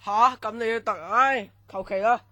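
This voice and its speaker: A man's voice: very high voice, fairly even intonation, moderate pace, sharp tone, no room sound. A man acting a sullen young student in a Cantonese radio drama, grudging and muttering.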